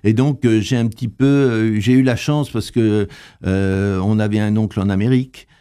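An older man answering interview questions, hesitant and full of pauses and fillers. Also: on the low side, steady tone, mellow, clear, resonant tone. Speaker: interviewee, translator.

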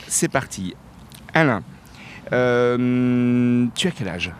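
A man hosting an interview, asking questions in a halting way with frequent fillers. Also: mid-range voice, expressive. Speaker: interviewer, show host. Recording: faint background noise.

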